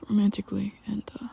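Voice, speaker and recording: A woman voicing a shy, tender young male vampire best friend in an ASMR roleplay, whispering softly with hesitant, halting speech. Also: medium pitch, vocal fry, slight background noise.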